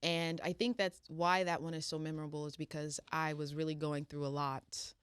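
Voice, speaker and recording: A woman in her twenties speaks openly and reflectively as a guest in a casual interview. Her voice sits low. The sound is dry and close, with no room around it. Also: level tone, normal speed, a bit bright, smooth, no room sound. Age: 20s. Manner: earnest, reflective, open. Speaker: singer, guest.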